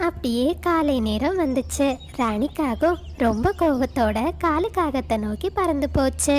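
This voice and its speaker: A woman narrates a scripted children's story. Her voice is high, and there is faint noise in the background. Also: animated, a little slow.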